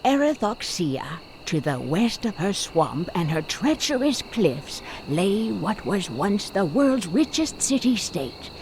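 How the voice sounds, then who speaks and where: A woman playing a solemn, mystical narrator in a fantasy video game, telling of the realm in a grand, measured storyteller delivery. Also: mid-range voice, sing-song, darkish tone, slight background noise. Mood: ominous, grave. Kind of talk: dramatic scripted fantasy narration.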